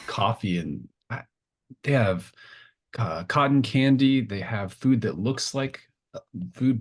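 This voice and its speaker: A man voicing an eager young boy who chatters excitedly in a tabletop game. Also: on the low side, breathy edge, pitched above the performer's own voice.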